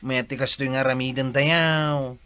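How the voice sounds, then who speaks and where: A man's voice: mid-range voice, steady tone, moderate pace, sharp tone, clean tone, close to an everyday voice. A man playing a supportive, respectful village sidekick, earnest in delivery, in a comic radio drama. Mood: encouraging.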